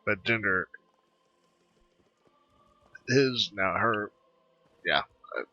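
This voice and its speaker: Husky guy rambling over a game, halting, forceful tone, dry lo-fi mic. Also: on the low side, no echo. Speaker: gameplay commentator. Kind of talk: casual game commentary.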